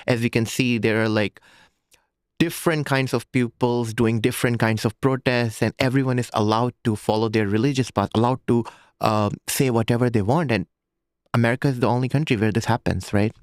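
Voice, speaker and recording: A man's voice: medium pitch, steady tone, a little fast, dry recording, studio quality. A man in his twenties from Pakistan, with a Pakistani accent, telling his own story as a podcast guest and repeating phrases for emphasis. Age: 20s. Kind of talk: recounting personal story in an interview.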